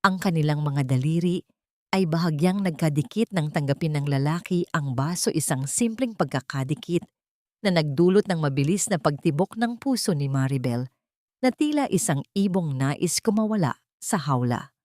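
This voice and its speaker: A woman with a Filipino accent narrating an emotional scripted story in a measured, literary storytelling manner. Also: deep voice, lively intonation, warm tone, no room sound, studio quality.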